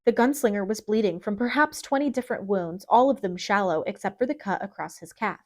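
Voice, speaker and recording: A woman's voice: medium pitch, fairly quick, no room sound. Woman narrating a dramatic story, dry recording. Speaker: audiobook narrator.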